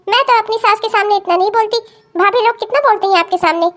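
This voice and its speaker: A woman's voice: very high-pitched, fast. A woman playing a spoiled, entitled married daughter in a family melodrama, whiny, haughty and demanding. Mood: complaining, entitled. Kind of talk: Hindi family melodrama story acting.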